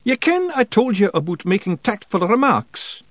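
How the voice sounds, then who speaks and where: A man voicing a small, tough fairy clan leader with a Scottish accent, brisk and bossy. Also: sits high, sing-song, fairly bright tone, no room sound, voice pushed up. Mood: gruff, bossy.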